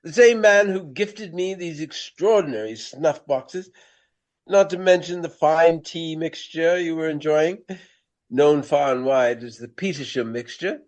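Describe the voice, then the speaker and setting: An actor playing an aging, pompous Regency gentleman of the stage in a radio play, nostalgic and theatrical, with florid phrasing and dramatic pauses. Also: on the high side, natural voice. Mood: fond nostalgia.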